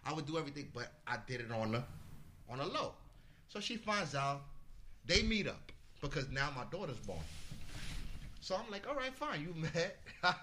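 A man from the Bronx telling a story as a podcast guest, animated, swearing freely and acting out what people said. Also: on the high side, moderate pace, pauses often, a little hiss or hum. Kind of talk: casual storytelling.

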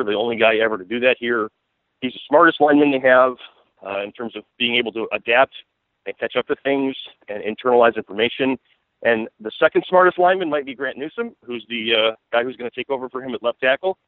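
A man's voice: medium pitch, no room sound. A man giving casual sports analysis as an interview guest, thinking aloud in a rambling, conversational way. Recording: tinny, dry phone-like radio line.

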